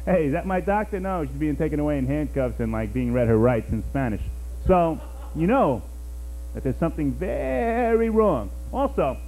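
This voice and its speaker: A man's voice: fairly high pitch, lively intonation, normal speed, mellow, a little hiss or hum, rough recording. A man from New York doing a rambling, self-mocking stand-up routine, talking to the crowd on a small cafe stage. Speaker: stand-up comedian.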